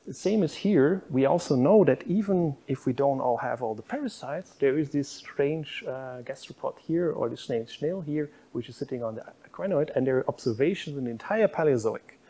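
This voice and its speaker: A man's voice: mid-range voice, animated, normal speed, hardly any pauses, mellow. A middle-aged man giving a university public lecture in a lecture room, explaining slides with frequent fillers. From Belgium.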